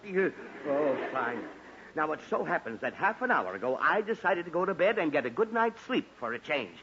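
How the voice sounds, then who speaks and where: A man's voice: on the high side, very animated, moderate pace. An American actor playing a fussy, exasperated middle-aged father in 1940s radio comedy, with emphatic delivery. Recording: scratchy, muffled 1940s radio recording.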